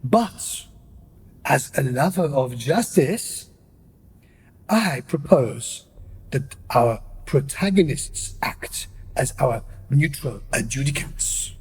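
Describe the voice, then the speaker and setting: A man's voice: medium pitch, lively intonation, takes it slowly, a little mellow, slightly rough, heavily acted. A man playing a haughty aristocratic island elder in a radio play, declaiming pompously. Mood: imperious. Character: haughty aristocratic male island elder, mocking marquis of statistics.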